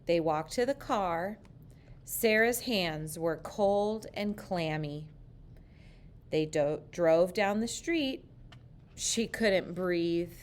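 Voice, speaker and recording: A woman reading a children's picture book aloud, slow, careful and expressive. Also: fairly low pitch, slight background noise. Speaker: storyteller.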